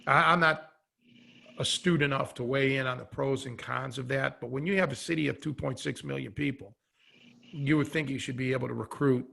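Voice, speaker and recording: American man, bright gravelly voice. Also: medium pitch. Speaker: podcast host. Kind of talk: casual podcast conversation.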